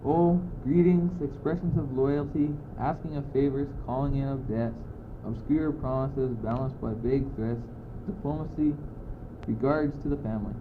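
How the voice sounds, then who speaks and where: A man's voice: mid-range voice, steady tone, normal speed, background noise, lightly acted. A teenage boy playing an argumentative, muddled but bossy courtier in an amateur school radio play, declaiming emphatically on an old, tinny recording. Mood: reciting. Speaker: student actor.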